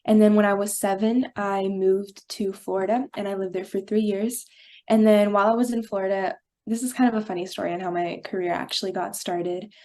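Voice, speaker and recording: A woman's voice: mid-range voice, steady tone, fairly quick, warm tone. A teenage girl from Brazil, a young actress answering interview questions, bubbly and hesitant with fillers. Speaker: guest actress.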